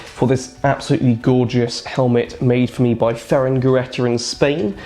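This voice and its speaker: A man's voice: mid-range voice, flat delivery, normal speed, mellow. A man with a nasal voice from a cold, talking casually through a hands-on craft project, rambling a little and correcting himself as he goes. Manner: rambling, with frequent ums and self-corrections. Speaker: reenactor and craft maker. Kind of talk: casual how-to narration.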